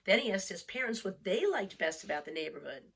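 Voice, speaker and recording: A woman reading a picture book aloud for young children, expressive and deliberate, with storytelling emphasis. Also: medium pitch, breathy edge. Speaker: storybook reader.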